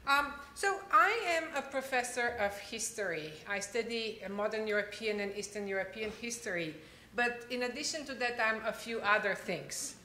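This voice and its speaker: A woman's voice: a little high, animated, moderate pace, slight background noise. Woman professor at a podium. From Bulgaria. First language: Bulgarian. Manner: warm thanks with frequent uh fillers. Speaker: history professor introducing the keynote. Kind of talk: speech introduction. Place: large lecture hall, at a podium. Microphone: podium microphone. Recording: echoey hall.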